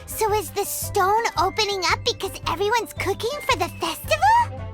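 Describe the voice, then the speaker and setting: An actress voicing a tiny, chatty fairy companion in a game, excitable and full of questions. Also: female voice, extremely high pitch, normal speed, over music. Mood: curious eager. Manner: excitable, inquisitive. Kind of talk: video game cutscene voice acting.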